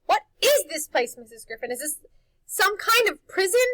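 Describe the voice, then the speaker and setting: A woman's voice: very high voice, sing-song, moderate pace, a bit bright, dry recording, voice pushed up, heavily acted. A woman voicing a bold, curious young boy in a storybook, with insistent, challenging questions. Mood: agitated, demanding.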